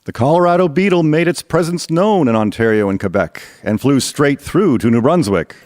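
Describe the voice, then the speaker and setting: A man's voice: fairly high pitch, expressive, normal speed, a little mellow, soft background music. A man narrating a scripted historical radio drama in a storytelling style.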